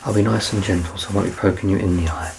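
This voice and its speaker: A man in his thirties speaks in a deep, softly creaking voice, gently guiding a patient in an ASMR medical roleplay. He is reassuring and self-deprecating, often dropping near a whisper. There is clear background noise behind him. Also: fairly even intonation, very fast. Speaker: ASMR roleplayer playing a doctor. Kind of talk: soft-spoken ASMR medical exam roleplay.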